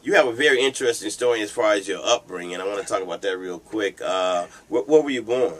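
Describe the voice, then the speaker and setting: A man's voice: fairly low pitch, expressive, fast. A man hosting an interview, talking in an encouraging, conversational way and often saying "you know". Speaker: host. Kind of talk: interview host chat.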